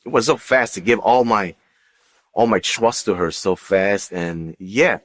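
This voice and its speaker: Very deep-voiced man giving testimony. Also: unhurried, fairly bright tone. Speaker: guest giving a personal testimony. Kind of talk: unscripted personal testimony.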